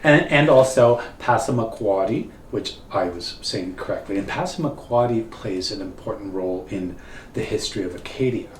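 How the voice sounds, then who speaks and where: A man's voice: on the low side, normal speed. A middle-aged man from northern Ontario whose first language is French, co-hosting a casual chat and explaining things thoughtfully. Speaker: co-host. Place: wood-panelled cabin room, seated at table. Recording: roomy, distant, faint hiss.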